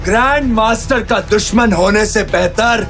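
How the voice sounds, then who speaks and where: Arrogant, slow-talking villain grand master. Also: male voice, high voice, over music. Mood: menacing. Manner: gloating, threatening, drawn-out delivery. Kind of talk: Hindi audio drama acting.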